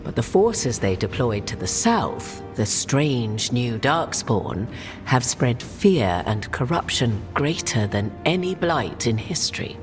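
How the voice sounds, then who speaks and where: A woman's voice: very deep voice, big pitch swings, slow, music in the background, full character voice. An actress playing a haughty, enigmatic witch in a video game, with formal, arch and theatrical diction. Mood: solemn. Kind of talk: video game cutscene acting.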